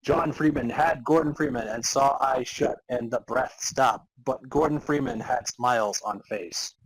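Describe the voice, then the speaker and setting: A husky-voiced man hosts and narrates a comic fan fiction reading. He is halting and amused, with casual asides, in a dry, close recording. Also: medium pitch, no room sound, rough recording. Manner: halting, amused delivery of broken sentences. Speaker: podcast narrator and co-host.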